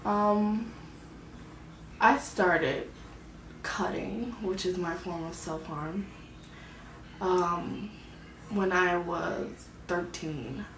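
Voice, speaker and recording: A woman, vlogging about her own life in a soft, hesitant way, often searching for words. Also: fairly low pitch, very slow, pauses often, slight background noise. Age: 20s. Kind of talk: personal confessional vlog. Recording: echoey room, mic at a distance.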